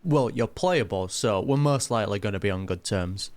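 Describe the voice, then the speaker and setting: A man's voice: lowish voice, lively intonation, moderate pace, darkish tone, no room sound. Chatty man reacting to a video game. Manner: chatty, amused, answers the game aloud. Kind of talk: casual live gameplay commentary. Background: game music.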